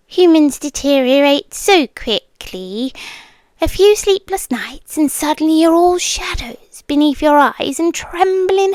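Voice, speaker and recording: Woman acting a slow, high-voiced British serpent girlfriend. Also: dry recording. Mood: probing, possessive. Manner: soft, slow, intimate, often slipping into whispers. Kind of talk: yandere girlfriend ASMR roleplay. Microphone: close microphone, dry.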